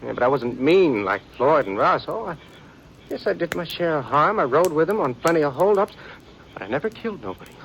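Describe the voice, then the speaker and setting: An American actor playing a nervous, defensive outlaw in an old radio western, stammering and wheedling in folksy rural speech. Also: male voice, on the high side, sing-song, a little fast, a bit bright, highs cut off. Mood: defensive, aggrieved. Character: nervous, defensive outlaw turned informer, pleading for protection. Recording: noisy vintage radio drama recording.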